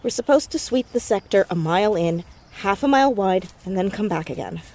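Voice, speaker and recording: A woman playing a determined, defiant teenage girl in a naturalistic audio drama, brisk and dryly sarcastic. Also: medium pitch, lively intonation, a little mellow, lightly acted.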